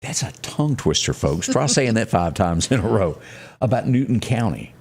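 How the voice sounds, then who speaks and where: A man hosting a live local news talk show, speaking in a halting, folksy way and repeating phrases for emphasis. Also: on the low side, very animated, a little mellow, breathy edge. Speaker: morning show host.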